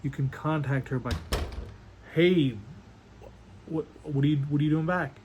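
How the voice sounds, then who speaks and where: A man playing a doting, secretive father in an online play, speaking tenderly and haltingly to his daughter. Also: on the high side, muffled recording, background noise, natural voice. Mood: nervous, halting. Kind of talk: dramatic monologue in an online play.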